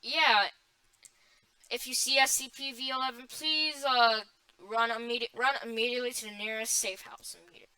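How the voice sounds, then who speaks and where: A woman's voice: on the high side, fairly even intonation, normal speed, sharp tone, smooth, dry recording, poor audio. A woman playing a flustered emergency alert announcer in an amateur scripted broadcast, stumbling and restarting phrases. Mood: flustered, reporting.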